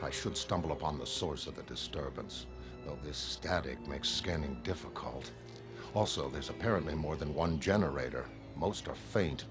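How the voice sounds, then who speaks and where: Detached godlike being, very low voice. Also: male voice. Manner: measured, analytical, emotionless. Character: detached, godlike blue superhuman man, coolly curious. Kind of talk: dramatic motion comic narration.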